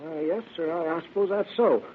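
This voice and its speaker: An actor playing a timid, deferential druggist in an old radio drama, stammering and repeating himself hesitantly. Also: male voice, a little high, brisk, close to an everyday voice. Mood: nervous, deferential. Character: timid, flustered small-town druggist, meek and deferential. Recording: muffled, noisy vintage radio recording.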